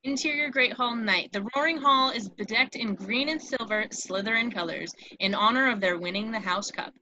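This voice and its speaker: A woman's voice: fairly high pitch, moderate pace, pushed and bright, dull audio, a little hiss or hum. A woman narrating scripted stage directions for a screenplay read.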